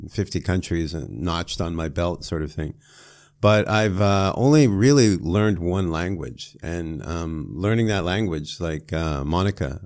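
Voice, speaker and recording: Man, thirties, from Canada, very deep voice, casual talker, small studio. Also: a little mellow. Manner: chatty, lots of "like" and "I mean". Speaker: English teacher and guest. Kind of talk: casual conversation. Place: small studio with foam panels. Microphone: boom-arm podcast mic.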